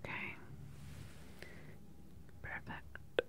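A woman in her thirties performing an ASMR medical roleplay as a calm, attentive doctor, asking questions in a soft, unhurried, clinical way close to the microphone. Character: calm, attentive government research doctor examining a patient.

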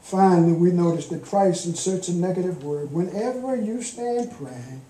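An older man preaching a sermon from a church pulpit with a deliberate, emphatic cadence. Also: high voice, warm tone, a little hiss or hum. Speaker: guest preacher, minister. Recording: echoey church room.

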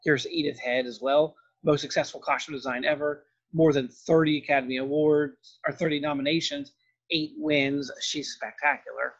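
Husky higher-pitched man lecturing informally. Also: steady tone, moderate pace. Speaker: film and art history professor. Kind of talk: informal narrated slide lecture. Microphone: voice-over on slides. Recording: dull, lo-fi voice-over recording.

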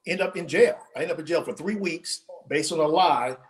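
Guest author, forties, male, animated storyteller on a home video call, voice tiring. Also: a little high, brisk. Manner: animated, storytelling with many restarts. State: losing his voice. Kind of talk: casual interview storytelling. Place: home room, indoors.

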